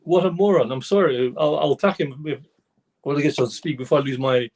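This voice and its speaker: A man on a fan show, talking heatedly and rambling with many restarts, his voice slightly hoarse. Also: a little high, quick pace, a little mellow. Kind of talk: casual football fan discussion.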